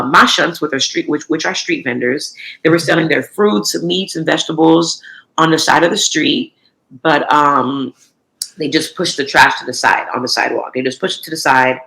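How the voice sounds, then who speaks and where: A woman's voice: sits low, a bit bright, poor audio. A woman in her thirties, an entrepreneur from the United States, chatting in an interview with lots of restarts and fillers. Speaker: recycling entrepreneur, guest. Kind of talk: casual interview talk.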